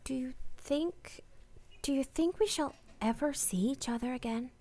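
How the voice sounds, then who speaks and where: A woman's voice: high-pitched, a little slow, dark tone. A woman playing a weary, heartbroken married woman parting from her lover, hesitant and anguished, in a radio drama. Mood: resigned, sad. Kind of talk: radio play acting with confessional narration.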